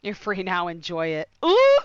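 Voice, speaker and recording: A woman streaming a game, casually reacting and reading choices aloud in an expressive, irreverent, giggly way. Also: on the low side, a little slow, dry recording, muffled recording.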